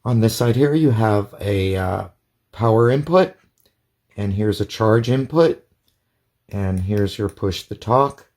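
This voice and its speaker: A man's voice: lowish voice, lively intonation, slow, darkish tone. A man giving a casual hands-on product demonstration in a plain, methodical, step-by-step way. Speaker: product reviewer.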